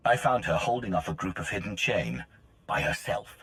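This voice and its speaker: An actor playing a stern, driven mercenary fighter in a video game, terse and commanding. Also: male voice, low-pitched, very animated, normal speed, a bit bright. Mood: businesslike, commanding. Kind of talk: video game cutscene acting.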